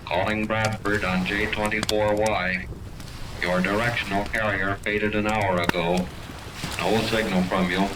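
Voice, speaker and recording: A man's voice: fairly low pitch, level tone, unhurried. A man playing a businesslike radio operator in a 1930s radio serial, calling formally in clipped phrases as if over a transmitter. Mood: urgent, formal. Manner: clipped, formal, repetitive call-sign delivery. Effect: distant radio transmission. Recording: distant, noisy vintage radio recording.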